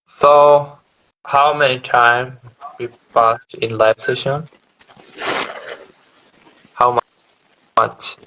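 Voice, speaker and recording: A Bangladeshi man speaking English slowly and haltingly, pausing often and restarting his sentences. His pitch stays flat and level throughout. He sounds like a guest on a casual live stream. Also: rough recording. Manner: halting and hesitant, with frequent fillers and restarts. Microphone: online live stream call.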